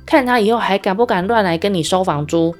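A woman's voice: mid-range voice, fast, soft background music, close to an everyday voice. A woman voicing a sly, scheming male fox in a children's story. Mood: gruff.

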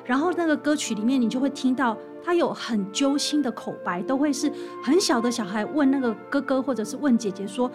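A woman's voice: sits high, animated, a little fast, few pauses, music in the background. A woman with a Taiwanese Mandarin accent explaining things conversationally as an interview guest. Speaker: author guest.

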